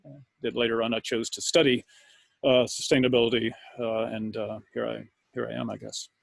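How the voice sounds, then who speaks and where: Brief: man, panel guest, gravelly and husky, dry sound, hesitant. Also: mid-range voice, level tone, fairly quick, no room sound. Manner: hesitant, many fillers. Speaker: panel speaker. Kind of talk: casual talk.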